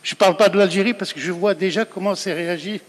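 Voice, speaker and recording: A man with a fairly high voice asks a question from the floor. He gets there in a wordy, hesitant way, with long hall reverb around him. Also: fast talker. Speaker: audience member asking a question. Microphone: handed microphone.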